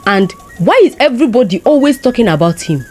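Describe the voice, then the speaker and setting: A proud, jealous village warrior played by a woman storyteller speaks with a Nigerian accent. He is scornful and boastful, throwing out challenges with wide swings in pitch. It is a storybook folktale with faint music behind. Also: sing-song, brisk, a little mellow. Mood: scornful, irritated.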